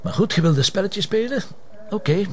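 A man's voice: sits high, lively intonation, fairly quick, a little mellow. A man playing a stubborn, sarcastic police detective in a crime drama, with a Flemish Belgian accent and a confrontational, cocky manner. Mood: mocking. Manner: sardonic, confrontational. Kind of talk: naturalistic TV crime drama acting.

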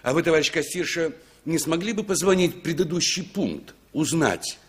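A man's voice: fairly high pitch, lively intonation, normal speed, a bit bright, husky. An actor playing a polite, soothing male passenger who speaks formally and reassuringly in an old radio play. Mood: polite, measured. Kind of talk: 1960s Soviet radio play acting.

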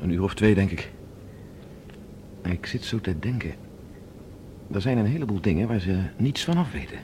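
An actor a radio play playing a resolute, practical professor on the run in space. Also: male voice, lowish voice, expressive, normal speed, warm tone, lightly acted. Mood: quiet reasoning. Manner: urgent, practical, drily defiant. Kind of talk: Dutch radio drama acting. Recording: noisy old radio drama recording.

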